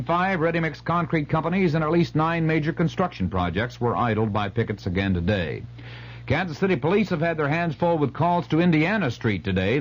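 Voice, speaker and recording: American male radio newsreader, dull vintage sound. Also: medium pitch. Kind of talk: scripted news bulletin. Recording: dull 1970s AM radio recording.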